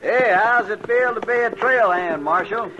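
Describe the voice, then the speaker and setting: An American actor playing a gruff, plain-spoken trail boss in a 1950s western radio drama, blunt and folksy with dry humour. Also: male voice, sits high, normal speed, close to an everyday voice. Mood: brisk, joking. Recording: noisy, muffled old radio recording.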